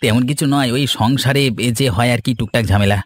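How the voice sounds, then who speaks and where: A man's voice: medium pitch, quick pace, natural voice. A man playing a hot-headed, envious, hard-up village husband in a cartoon, with a blustering, aggrieved manner. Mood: troubled, scheming. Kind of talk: scripted cartoon dialogue.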